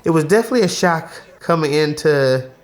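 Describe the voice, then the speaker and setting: A man in his forties from Texas, telling his faith story in a relaxed way, chuckling as he talks. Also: fairly high pitch, a little fast. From Houston, Texas. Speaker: interviewee. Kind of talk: personal faith testimony.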